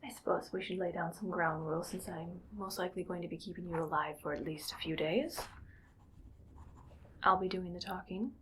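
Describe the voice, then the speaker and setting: A woman playing a bossy, musing female mad scientist in a whispered ASMR roleplay, speaking in a breathy, clinical, quietly menacing way. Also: lowish voice, slight background noise, lightly acted, low-quality recording. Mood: stern, commanding. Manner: slow musing, clinical, domineering. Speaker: ASMR performer.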